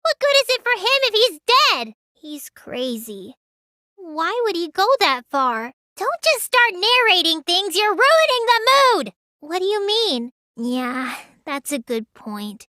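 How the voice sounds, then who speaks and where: A woman voicing a small, childlike teenage girl mage in a video game, high and girlish, sulky and excitable with a whiny edge. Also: takes it slowly, dry recording, studio quality, exaggerated voice. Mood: startled, confused, then sulky, matter-of-fact. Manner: whiny, stammers when startled. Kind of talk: English game dub voice lines.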